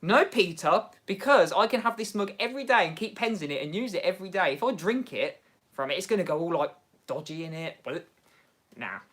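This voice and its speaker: A man with an English Midlands accent hosting a casual livestream from home, chatting excitably and laughing often as he answers viewers. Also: sits high, fairly quick. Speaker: livestream host. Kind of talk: casual livestream chat reading viewer comments.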